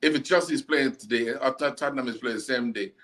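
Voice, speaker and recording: A man co-hosting a casual football chat, animated and laughing, often mimicking people in quotes. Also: mid-range voice, fast. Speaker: podcast co-host.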